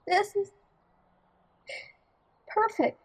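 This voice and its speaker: A woman's voice: extremely high pitch, expressive, very slow, full character voice. A woman playing a spirited young bride in an audio drama. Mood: overjoyed disbelief.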